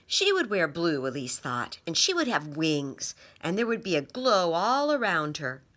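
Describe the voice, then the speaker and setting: A woman reading a children's story aloud as an expressive narrator. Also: lowish voice, unhurried. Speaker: storybook reader.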